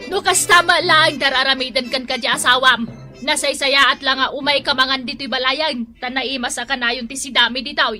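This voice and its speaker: A boastful, gossipy character in an Ilocano radio comedy. Her high, forceful voice carries an Ilocano accent and an emphatic, exclamatory delivery. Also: steady tone, fairly quick, soft background music.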